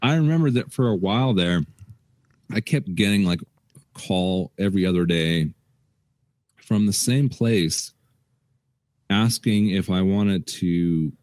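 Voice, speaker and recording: Podcast co-host, young man, deep voice, laid-back storytelling, lots of restarts, dry studio sound. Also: dry recording. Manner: relaxed, rambling, restarts a lot. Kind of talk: casual chat.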